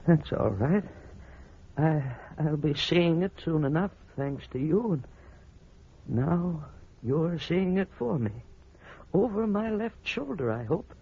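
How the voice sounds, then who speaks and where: An actor playing an aging blind husband, devoted yet superstitious and suspicious, in a theatrical, emphatic vintage radio drama style. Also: male voice, on the high side, lively intonation, takes it slowly, creaky, husky, exaggerated voice. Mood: wistful, devoted. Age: older. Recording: muffled, noisy old radio recording.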